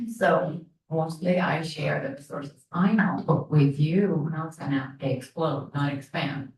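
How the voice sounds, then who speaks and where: Audience woman, native Arabic speaker, low voice, hesitant, echoing room. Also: darkish tone, slight background noise. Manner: halting, with fillers. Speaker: audience member. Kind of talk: asking questions at a pitch event. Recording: roomy hall, distant mic.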